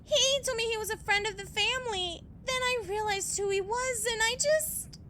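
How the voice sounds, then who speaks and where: A woman's voice: very high-pitched, moderate pace, sharp tone, a little hiss or hum. A woman playing a flustered, deferential office assistant in an audio drama, speaking apologetically. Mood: flustered, apologetic.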